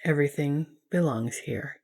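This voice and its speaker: A woman guides a restful meditation in a gentle, soothing manner. Her voice sits low and mellow, with plenty of soft vocal fry. She gives each instruction calmly, like a teacher of yoga nidra. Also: normal speed.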